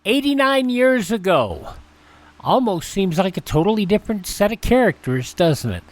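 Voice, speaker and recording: A male radio host with a slightly high voice. He introduces programs in a loose, conversational style, dropping in folksy asides as he goes. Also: very animated, moderate pace. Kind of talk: casual scripted-loose show introduction.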